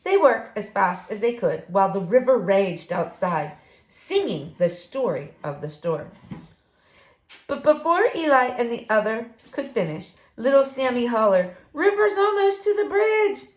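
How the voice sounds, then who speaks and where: A woman reading a children's story aloud in an expressive, deliberate, dramatic way. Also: mid-range voice. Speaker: storybook reader. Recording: roomy, echoing room sound.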